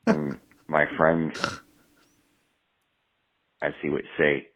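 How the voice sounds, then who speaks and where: A man's voice: extremely low pitch, level tone, drawn-out words, a bit bright. A man leaving a rambling, profane voicemail for a show, jumping from topic to topic. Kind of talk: rambling phone message. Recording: tinny phone line voicemail.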